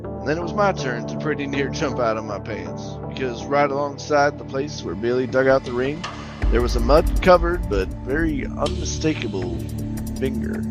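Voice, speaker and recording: A man's voice: medium pitch, lightly acted. An actor playing a folksy, talkative oil-rig worker who tells his eerie tale in colloquial radio horror drama style. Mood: tense narrating. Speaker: actor and narrator. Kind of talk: old-time radio horror drama acting and first-person narration.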